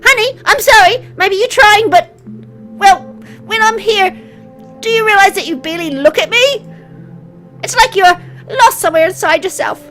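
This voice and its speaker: An exasperated young girlfriend pleads in a raised, high voice , acted by a woman. Her delivery is emotional and emphatic, in visual novel drama style. Music is clearly audible underneath. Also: normal speed, long pauses, pushed and bright. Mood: pleading. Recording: music behind the voice.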